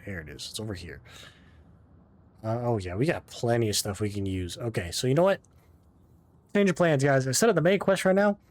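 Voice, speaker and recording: A man's voice: medium pitch, a little mellow. A man hosting a gaming channel, giving casual commentary over his gameplay, rambling, talking to himself and mocking himself, often trailing into mumbles and humming as he plays.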